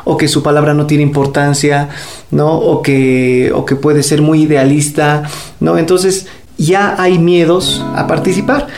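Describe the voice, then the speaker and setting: A man, a musician being interviewed, explaining his ideas in a reflective, measured way. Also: on the high side, steady tone, a little mellow, faint music behind.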